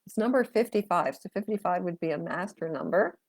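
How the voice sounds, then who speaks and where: Low gravelly woman reading tarot live. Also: fairly quick. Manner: chatty, rambling with fillers, talks to viewers' comments. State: losing her voice. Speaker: tarot reader and astrologer.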